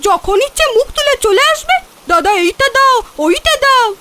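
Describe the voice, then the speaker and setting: A woman voicing a haughty neighbour wife in a cartoon, scolding with shrill sarcasm. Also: animated, moderate pace. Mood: scornful, mocking. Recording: loud rain noise, clicks.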